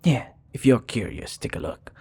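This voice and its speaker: A man playing a flirty, charming vampire bartender in an intimate ASMR roleplay, teasing softly and unhurriedly with breathy murmurs and hesitations. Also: very animated, normal speed, soft background music, full character voice. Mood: friendly, easygoing. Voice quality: low, husky, breathy.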